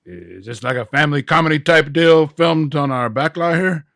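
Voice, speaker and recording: A man playing an anxious film studio boss in a comedic amateur radio play, stammering and hesitant, with a raspy voice. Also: fairly high pitch, normal speed, fairly bright tone, no room sound. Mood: hesitant, uneasy. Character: flustered, anxious male film studio boss under pressure.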